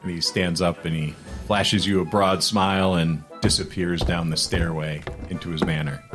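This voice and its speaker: A man's voice: low-pitched, fairly even intonation, moderate pace, a bit bright, soft background music. A man narrating scenes descriptively as the game master of a tabletop fantasy game.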